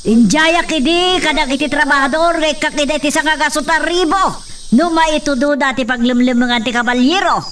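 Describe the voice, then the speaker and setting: A woman's voice: sits high, a bit bright, far from the mic, a little hiss or hum. A woman with an Ilocano accent playing a domineering rich señora who threatens her workers in a radio drama.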